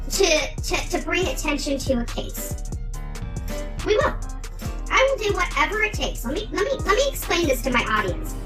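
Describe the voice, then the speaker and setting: A woman hosting an online true-crime channel, delivering an impassioned, combative rant with emphatic repetition. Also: high voice.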